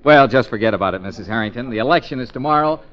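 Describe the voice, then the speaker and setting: A man's voice: mid-range voice, lively intonation, very fast, lightly acted. A man playing an easygoing, wisecracking private detective in a 1940s radio drama, with a breezy, joking delivery. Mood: smooth, genial. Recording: dull lo-fi 1940s radio recording.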